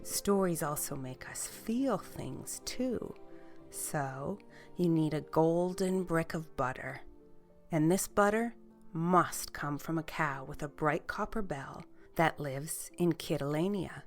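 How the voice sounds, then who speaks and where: Female actor as cheerful, snug storyteller for small children; gentle wonder, low register, slow pacing, soft music underneath. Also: animated, heavily acted. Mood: gentle, dreamy. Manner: gentle, wondering, drawn-out delivery for young children. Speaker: children's story show host. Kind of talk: gentle bedtime storytelling, reading letters aloud.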